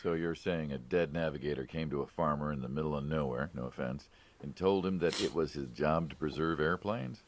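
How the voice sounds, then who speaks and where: A man's voice: very deep voice, a little fast, slight background noise. A man playing a friendly, inquisitive, wryly skeptical middle-aged American mobster in naturalistic video game dialogue. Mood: bemused, skeptical.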